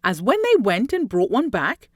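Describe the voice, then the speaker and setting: A woman reading a rhyming children's story aloud in an expressive, theatrical way with deliberate phrasing and dramatic emphasis. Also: medium pitch, slightly rough, no echo, studio quality. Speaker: storybook reader.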